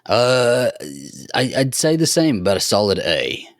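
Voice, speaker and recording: A man's voice: medium pitch, big pitch swings, a little slow, dry recording, clean studio sound. A man speaking as a podcast panelist in casual, conversational chat.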